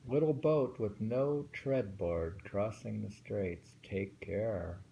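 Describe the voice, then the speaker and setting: A middle-aged man reads old poems aloud, then muses over them in a relaxed, thinking-aloud way. His voice is low and mellow, with a gravelly edge. He takes his time, often pausing to reread a line. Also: lo-fi sound, a little hiss or hum. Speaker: poetry reader. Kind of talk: reading poems aloud with casual commentary.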